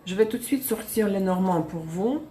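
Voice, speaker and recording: A woman's voice: medium pitch, lively intonation, normal speed, dark tone. A woman reading tarot cards on a live stream, chatty, laughing and correcting herself as she goes. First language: Russian. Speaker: tarot reader. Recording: echoey room.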